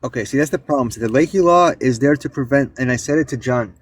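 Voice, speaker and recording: A man in an online debate, arguing forcefully and exasperatedly, often restarting his sentences. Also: medium pitch, quick pace, breathy edge, no room sound. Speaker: debate participant. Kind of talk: casual live-stream debate.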